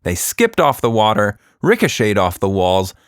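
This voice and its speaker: A man's voice: fairly low pitch, very animated, normal speed, smooth, no echo, studio quality. A man hosting a podcast for children, chatting casually and narrating a story.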